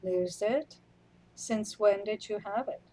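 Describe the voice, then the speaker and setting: A woman playing a wry, weary companion in a radio play, speaking in a deliberate way. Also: medium pitch, mellow, rough recording. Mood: wry, unruffled. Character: unhurried, matter-of-fact companion, wry and weary.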